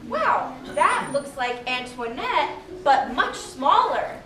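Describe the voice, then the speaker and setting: An excitable young girl puppet acted out by a woman, high and swooping in pitch. She exclaims and asks questions eagerly, heard from a distance in an echoey hall. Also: slow pace, exaggerated voice. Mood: excited. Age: young adult. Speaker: puppeteer in a student educational show. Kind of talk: live children's puppet show acting. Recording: echoey hall, distant mic, crowd noise.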